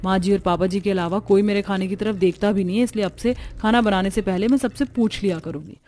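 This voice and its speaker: A woman's voice: mid-range voice, steady tone, quick pace, darkish tone, a little hiss or hum, close to an everyday voice. A woman voicing a hurt, neglected daughter-in-law in a cartoon family drama, speaking plaintively. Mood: hurt, resigned. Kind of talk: Hindi cartoon story dialogue.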